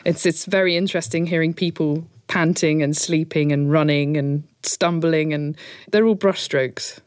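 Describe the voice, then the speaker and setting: A woman's voice: low-pitched, steady tone, moderate pace, a little mellow, dry recording, clean studio sound. A woman chatting in an appreciative, conversational way as a guest on a casual panel.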